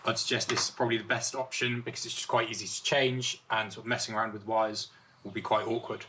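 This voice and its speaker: A man's voice: lowish voice, little pitch movement, fast, background noise. A man giving a casual, explanatory hands-on product review, thinking aloud in an informal way. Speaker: vlogger reviewing and installing car gear.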